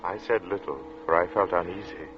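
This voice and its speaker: A man acting as an uneasy, introspective gentleman who narrates an eerie tale in 1940s radio suspense style, measured and literate. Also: sits low, steady tone, background noise, lightly acted. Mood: uneasy, brooding. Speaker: narrator and protagonist. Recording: muffled old radio drama recording.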